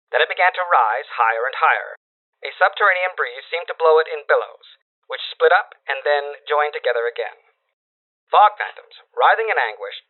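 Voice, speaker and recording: A man playing a formal wire-service voice reading ominous news dispatches like an old bulletin, through a radio filter. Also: a little high. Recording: tinny narrow-band old radio sound.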